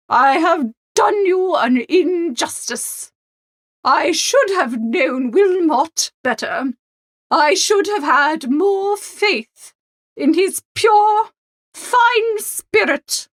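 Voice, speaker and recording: A woman's voice: very high-pitched, expressive, voice pushed up, exaggerated voice. A woman playing a haughty, dramatic older aristocrat in an audiobook, drawing out her words imperiously. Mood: effusively contrite. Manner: imperious, drawn-out emphatic delivery. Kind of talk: audiobook character acting.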